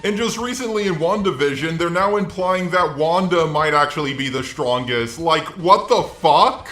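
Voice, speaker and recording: A man's voice: a little high, animated, normal speed, fairly bright tone, echoey room. A man's synthetic robot voice delivering scripted, opinionated commentary in a sardonic, sweary manner. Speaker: video essayist.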